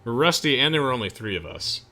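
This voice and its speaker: A man's voice: medium pitch, lively intonation, quick pace, bright, forceful tone. A young man hosting a gaming video, chatting casually and enthusiastically as he comments on the play. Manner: enthusiastic, gushing. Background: game music.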